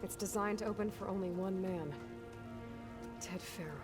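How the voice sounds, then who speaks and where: An actress playing a self-reliant, sceptical young heroine in a video game, speaking in a dry, plain-spoken way. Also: female voice, mid-range voice, steady tone, normal speed, loud music behind the voice, natural voice. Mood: dry, confident. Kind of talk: naturalistic video game acting.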